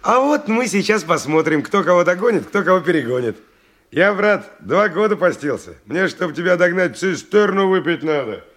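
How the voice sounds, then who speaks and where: An actor playing a tough, brash, hard-drinking ex-convict in a radio drama, curt and commanding in slangy speech. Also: male voice, sits high, animated, normal speed, a bit bright. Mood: jovial, bossy. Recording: noisy old radio play recording.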